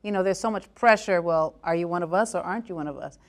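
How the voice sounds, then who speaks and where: A woman's voice: lowish voice, fast talker. A woman who is a guest, speaking reflectively in a casual panel conversation with many "you know"s.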